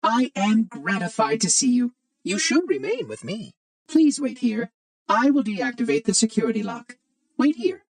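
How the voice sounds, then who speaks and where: A man's voice: very high-pitched, big pitch swings, normal speed, distant mic, heavily acted. A man playing a prim, fussy, ancient robot monitor in a video game, speaking in a formal, precise, oddly cheery way with light robotic processing. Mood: polite, brisk. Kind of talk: video game character acting.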